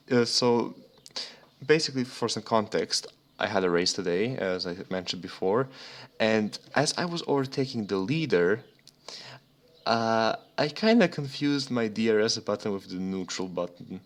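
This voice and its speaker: Young male podcast co-host, slightly low pitch, profane, meandering, off-the-cuff delivery. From Serbia. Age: young adult. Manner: rambling, profane. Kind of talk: casual motorsport podcast chat. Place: remote voice chat.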